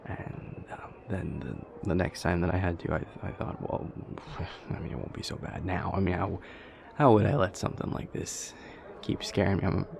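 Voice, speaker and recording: A man's voice: sits low, steady tone, normal speed, vocal fry, noisy background. A man playing an anxious, self-deprecating vampire boyfriend in an intimate roleplay audio, stammering and rambling, often dropping to a murmur. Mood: anxious, self-mocking. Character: nervous, self-deprecating immortal male vampire boyfriend, affectionate.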